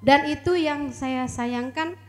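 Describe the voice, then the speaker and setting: A slightly hoarse woman from East Kalimantan, a competition judge speaking hesitantly with many fillers, giving earnest advice on a mic at an outdoor stage event. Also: sits high, slow. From Kutai Kartanegara, East Kalimantan. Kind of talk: casual live-event chat on a mic.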